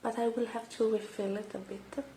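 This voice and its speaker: A woman doing a soft ASMR roleplay, murmuring and whispering step by step in a hushed, hesitant way. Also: on the high side, little pitch movement, normal speed, roomy sound, slight background noise. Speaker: ASMR roleplay creator playing a robot repair technician.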